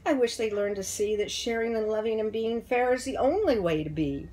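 A woman voicing a very old, tall, wise tree in a children's story, speaking deliberately and emphatically in moral lessons. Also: fairly high pitch, warm tone, pitched above the performer's own voice, lightly acted. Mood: gently hopeful.

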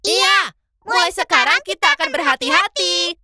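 A woman's voice: very high voice, moderate pace, dry recording, full character voice. A woman voicing a sweet, polite baby shark in a children's cartoon, with a childlike delivery. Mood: grateful. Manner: childlike, animated.

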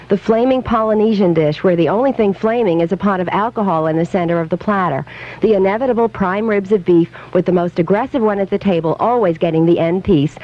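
A woman reading a scripted, humorous radio commentary as a food and leisure columnist, wry and rhetorical. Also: mid-range voice. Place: radio studio. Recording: slightly dull 1970s radio recording.

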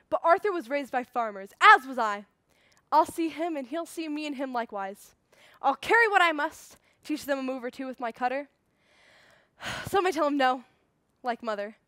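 A valiant little would-be knight played by a woman in a stage monologue. The high, bright voice is grandly earnest, like a child reciting a heroic legend. The recording is dry. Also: dry recording. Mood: proud, hopeful.

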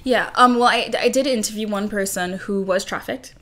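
A woman from Trinidad, a journalist in her thirties, explaining her research in an interview in earnest, measured sentences. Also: mid-range voice, fairly bright tone. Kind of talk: interview guest, conversational.

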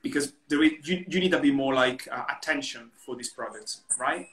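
Man, 30s, host, bright tone, roomy webcam sound, hesitant questions. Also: medium pitch, fairly quick. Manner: hesitant questions with fillers, non-native phrasing. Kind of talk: casual video call interview. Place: living room at home. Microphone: laptop webcam on video call.

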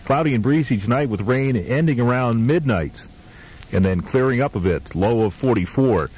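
A man's voice: mid-range voice, lively intonation, normal speed, darkish tone, slightly rough, low-quality recording. A man working as a radio DJ in a casual, self-deprecating way, chatting between songs and weather on a 1980s radio tape. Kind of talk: easygoing radio DJ patter and weather.

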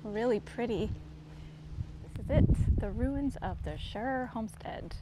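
A woman's voice: a little high, animated, a little slow. Woman narrating a hike outdoors. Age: middle-aged. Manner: easygoing, descriptive, points things out as she walks. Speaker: vlogger hiking a trail. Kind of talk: casual hiking narration. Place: outdoors on a forest trail. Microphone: handheld camera, often at a distance. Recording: faint outdoor noise.

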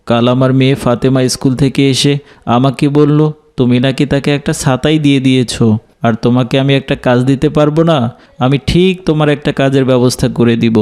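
A man playing a kind, helpful village neighbour in a cartoon, calm and reassuring. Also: medium pitch, clean tone, close to an everyday voice. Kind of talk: animated moral story dialogue.

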